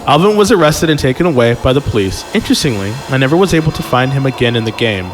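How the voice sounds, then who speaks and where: A man's voice: medium pitch, animated, fast talker, noisy background. A man hosting a comedy podcast, reading a story aloud and breaking in with incredulous, sweary reactions. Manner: exclamatory, incredulous, sweary asides. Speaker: podcast host and story reader.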